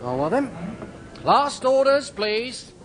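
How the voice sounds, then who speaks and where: A man's voice: extremely high pitch, sing-song, slow, noisy background, heavily acted. An actor playing a deferential, mildly worried barman in a radio comedy. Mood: polite, resigned.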